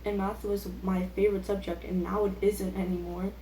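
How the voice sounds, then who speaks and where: Teen female vlogger, soft-edged relaxed tone, self-deprecating off-the-cuff chatter, recorded in a reverberant room. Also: mid-range voice, a little fast. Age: teenager. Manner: self-deprecating, filler-heavy youthful talk. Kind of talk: casual rambling chat. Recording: echoey room with faint background noise.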